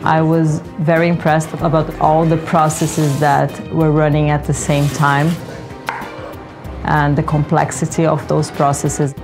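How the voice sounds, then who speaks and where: Brief: low-pitched woman from Brazil, consultant in interview, enthusiastic, music under her. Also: level tone, measured pace. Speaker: senior project manager. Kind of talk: documentary interview.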